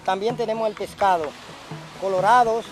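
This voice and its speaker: High-pitched man calling out from a distance. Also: steady tone, moderate pace, soft background music. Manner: loud street-seller calling. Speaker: seafood vendor.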